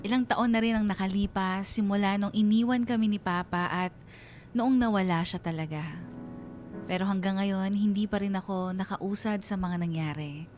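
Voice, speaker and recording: A woman's voice: medium pitch, normal speed, over music, natural voice. A woman playing a melancholy young writer who narrates her life story in a sentimental radio drama letter. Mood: wistful, sad.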